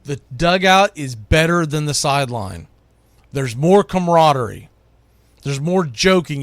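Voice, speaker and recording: A man's voice: on the high side, no room sound. A man from the United States hosting sports radio, talking casually and with firm opinions as he counts down a list, leaning on key words.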